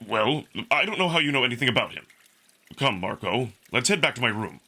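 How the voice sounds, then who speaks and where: A man's voice: mid-range voice, animated, a little fast, sharp tone, husky, exaggerated voice. A man voicing a big, hot-tempered, protective male wolf alien in a visual novel, speaking in a stern, curt, indignant way. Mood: suspicious. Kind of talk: visual novel character acting.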